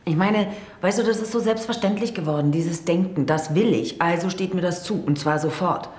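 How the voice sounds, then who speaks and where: A woman's voice: fairly low pitch, normal speed, darkish tone, echoey room, lightly acted. An actress playing a troubled woman writer in a radio drama, articulate, guarded and disillusioned about love. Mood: bitter, agitated. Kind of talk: naturalistic radio drama acting.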